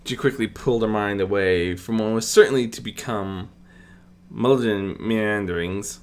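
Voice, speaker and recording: A narrator reading a scripted fantasy story in an expressive storybook manner, with dramatic pauses. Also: medium pitch, a little hiss or hum. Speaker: audiobook narrator.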